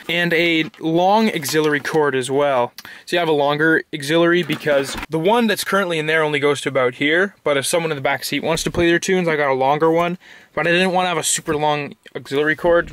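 A man's voice: on the high side, no echo. A teenage young man from Canada giving a casual, rambling show-and-tell vlog from inside his parked pickup truck, filmed close on a handheld camera. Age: teens. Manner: relaxed, rambling, with fillers and asides. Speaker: vlogger.

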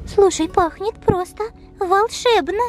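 A woman's voice: extremely high pitch, slow pace, faint music behind, voice pushed up. A woman voicing a pampered, chatty young female cat with dainty, fussy opinions about treats. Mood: delighted.

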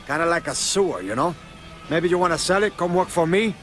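An actor playing a brash, hot-tempered Cuban-American crime boss with a Cuban accent, blunt, profane and domineering. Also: male voice, on the high side, animated, a little fast, soft background music, lightly acted. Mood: swaggering, pushy. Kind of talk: video game character acting.

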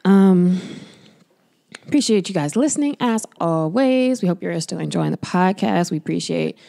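A woman's voice: fairly low pitch, lively intonation, moderate pace, gravelly, dark tone. A young woman co-hosting a podcast, asking questions in an easygoing, giggly way with many fillers. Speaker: podcast co-host, interviewer. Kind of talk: casual interview chat. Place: small studio, on a couch. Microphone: studio mic on a stand, headphones.

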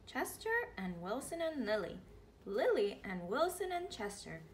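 A woman's voice: medium pitch, very animated, unhurried, slight background noise. A woman in her thirties reading a picture book aloud expressively for children. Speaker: read-aloud storyteller.

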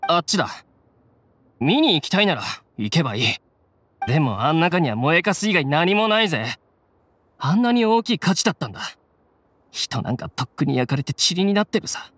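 An actor playing a defiant, rough-talking low-ranking bandit in a video game. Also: male voice, sing-song, fairly quick, a bit bright, exaggerated voice. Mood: sullen. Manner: rough, coarse, blustering speech. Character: defiant low-ranking bandit gang grunt, adult man. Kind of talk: Japanese game voice acting.

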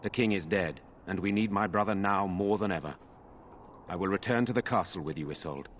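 An actor playing a dutiful, resolute nobleman in a video game, earnest, formal and measured. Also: sits low, slight background noise, close to an everyday voice. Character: resolute, dutiful nobleman, self-sacrificing brother. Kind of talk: dramatic video game dialogue.

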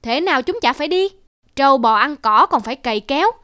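A woman's voice: high voice, expressive, fairly quick, bright, forceful tone, no echo, clean studio sound. A woman voicing a stingy, bossy middle-aged male landowner in a cartoon folk tale, grumbling and commanding. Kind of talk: animated folk tale acting.

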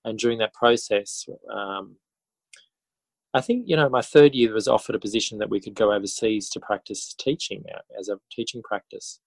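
A man in his forties with an Australian accent, talking as a guest in a casual podcast interview in a halting, reflective way. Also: fairly low pitch, gravelly, dry recording, rough recording. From country New South Wales, Australia. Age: 40s. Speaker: interview guest, schoolteacher and author.

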